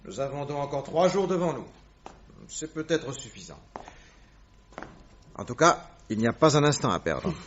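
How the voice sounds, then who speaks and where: An actor playing a self-assured, courteous detective in a French radio drama, explaining and commanding firmly on a dull old recording. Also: male voice, mid-range voice, animated, moderate pace, pauses often, slight background noise, close to an everyday voice. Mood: brisk, businesslike. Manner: assured, didactic, courteous but firm.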